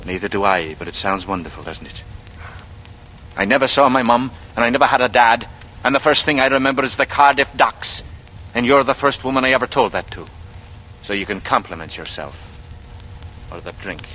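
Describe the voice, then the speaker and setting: A man's voice: mid-range voice, a little fast, bright, forceful tone. A man playing a glib, brooding young drifter with a murderous streak, in theatrical, dreamy 1940s radio thriller style. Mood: confiding, low. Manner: theatrical speechifying, rambling and dreamy. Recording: noisy vintage radio drama recording.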